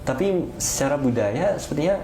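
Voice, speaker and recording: A young man with an Indonesian accent chatting as a podcast guest, explaining in a measured way and pausing to find words. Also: mid-range voice, expressive, mellow. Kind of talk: casual interview chat about studying abroad. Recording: roomy echo, clear background noise.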